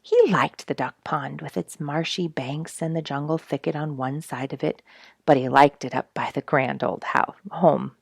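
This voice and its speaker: Female children's storyteller: low, slightly gravelly, very lively intonation, expressive read-aloud narration. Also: no room sound, studio quality.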